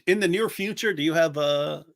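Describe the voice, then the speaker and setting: A middle-aged man co-hosting a casual commentary chat, talking in an animated way with many restarts and the odd mocking impression. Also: on the high side, fairly quick, creaky. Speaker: co-host.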